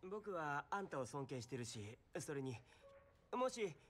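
A man's voice: fairly high pitch, big pitch swings, a little slow, a bit bright, a little hiss or hum. A man acting an eager, nervous young street-racing fan in a Japanese game dub, hesitant and earnest. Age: young adult.

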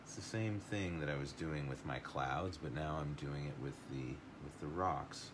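A middle-aged man teaching a watercolor lesson live, thinking aloud in an unhurried way as he paints at a table. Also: very deep voice, background noise. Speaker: art teacher.

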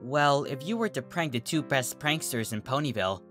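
A narrator voicing a composed, wry young female pony with a measured, slightly theatrical manner. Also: mid-range voice, animated, fairly bright tone, soft background music, close to an everyday voice.

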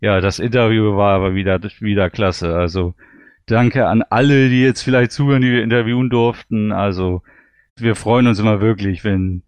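Low, clear-voiced enthusiastic man. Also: fairly even intonation, moderate pace, darkish tone, no room sound, lo-fi sound. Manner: enthusiastic, often repeats "wirklich". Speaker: podcast host. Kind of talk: casual panel chat reviewing audio dramas.

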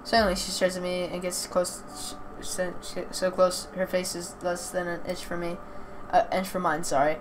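Low-pitched woman reading aloud, noisy background. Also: completely flat delivery, moderate pace, hardly any pauses. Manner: stumbles over words and corrects herself. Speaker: reader of a visual novel playthrough. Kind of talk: reading a story aloud, casual reactions. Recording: clear background noise.

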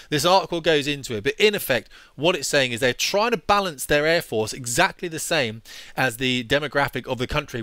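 Fast, indignant man ranting. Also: medium pitch, sharp tone, no echo. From United Kingdom. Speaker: YouTube commentator, former fighter pilot. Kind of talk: opinionated commentary over on-screen articles. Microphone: headset.

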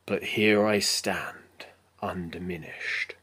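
A man playing a resolute, battle-worn armored knight in a dramatic film monologue, declaiming slowly and solemnly with breathy phrase endings. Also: sits low, steady tone, bright, forceful tone, a little hiss or hum, exaggerated voice. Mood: defiant, solemn.

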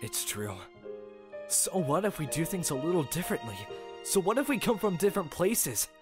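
A man's voice: fairly high pitch, very animated, moderate pace. A man voicing an earnest, impassioned teenage schoolboy in an anime fandub, pleading for his friend. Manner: hesitant, then impassioned. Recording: music behind the voice.

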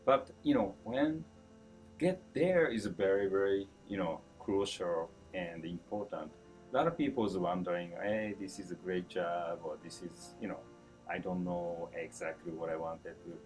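A low-voiced man in his fifties speaks English with a Japanese accent, his phrasing broken and warm with small chuckles. He is seated at an indoor store event, and faint music plays behind him. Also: moderate pace, poor audio. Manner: broken English, chuckling, animated with hand gestures. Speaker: chef being interviewed. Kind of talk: casual interview answers.

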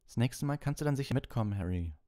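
A man's voice: mid-range voice, lively intonation, fast, dark tone, pitched above the performer's own voice, lightly acted. A man voicing an earnest, cautious young schoolgirl, reassuring but firm, in an audiobook. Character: earnest, cautious thirteen-year-old schoolgirl. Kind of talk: audiobook character voice.

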